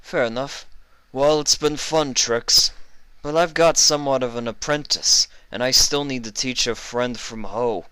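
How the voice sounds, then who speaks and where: A man's voice: medium pitch, unhurried, bright, forceful tone, smooth, no room sound, pitched above the performer's own voice, poor audio. A man voicing a menacing pony mob boss in a story reading, crude, taunting and mockingly casual.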